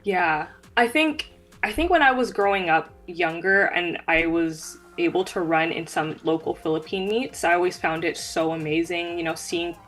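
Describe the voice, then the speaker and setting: Low-pitched young woman. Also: normal speed, fairly bright tone, faint music behind. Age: young adult. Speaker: guest, track sprinter. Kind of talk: conversational interview answers.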